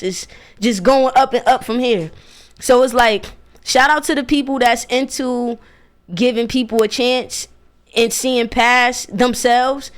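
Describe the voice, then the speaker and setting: A young American woman with a smooth, clear voice that sits a little high. She talks like a radio DJ in a casual interview, sure of herself and emphatic, often repeating a phrase to drive it home. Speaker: radio DJ and show host.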